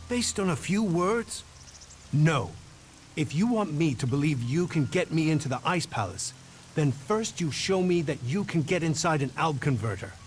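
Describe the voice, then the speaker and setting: Man playing a high-voiced, stern resistance leader. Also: a little hiss or hum, natural voice. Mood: stern, distrustful. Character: wary, commanding leader resisting an enemy faction. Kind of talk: video game voice acting.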